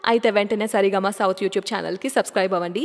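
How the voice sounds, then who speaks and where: A woman's voice: medium pitch, fairly even intonation, quick pace, big-room sound. A woman hosting a radio show, chatting casually and putting questions to her listeners. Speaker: radio host.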